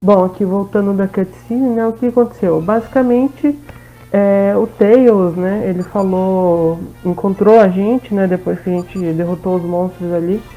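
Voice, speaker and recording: A woman's voice: mid-range voice, a little slow, soft background music. A woman with a Brazilian accent giving a casual, informal let's play recap as voice-over, often checking in with listeners. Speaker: gaming video host. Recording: dull lo-fi voice-over.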